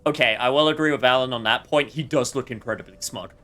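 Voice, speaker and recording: A man's voice: medium pitch, fast, pushed and bright. A man doing casual, rambling, jokey live commentary while streaming a game.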